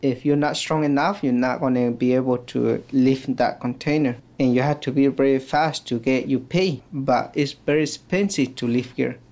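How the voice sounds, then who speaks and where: Guy with a mexican spanish accent, triqui first language, halting simple english, dark mellow voice. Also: medium pitch, fairly even intonation. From Oaxaca, Mexico. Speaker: community worker. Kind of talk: interview.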